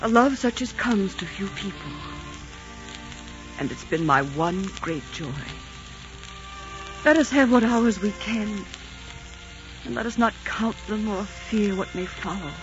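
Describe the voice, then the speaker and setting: A woman's voice: mid-range voice, lively intonation, a little slow, pauses often, over music, natural voice. 1940s radio drama: woman as tragic devoted queen, proud and earnest, theatrical delivery, muffled period sound. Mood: tender. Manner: theatrical, earnest, formal phrasing.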